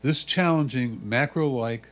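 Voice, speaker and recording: A man reading written critique aloud in a measured, deliberate way. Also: medium pitch, fairly bright tone. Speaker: reader of judges' comments.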